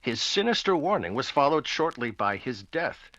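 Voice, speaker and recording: A man as a genial 1940s radio announcer with a polished, scripted delivery. His voice is bright and highly inflected, in a dry, slightly dull recording. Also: sing-song, moderate pace, no room sound. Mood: dramatic.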